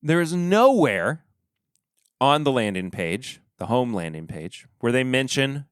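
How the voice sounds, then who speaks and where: A man hosting a podcast, talking casually and rambling in a relaxed way, with a stuffy nose. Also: mid-range voice, a little slow, clear, resonant tone, dry recording, studio quality. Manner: relaxed, rambling, trailing off quietly. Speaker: podcast host. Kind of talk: casual solo review chat.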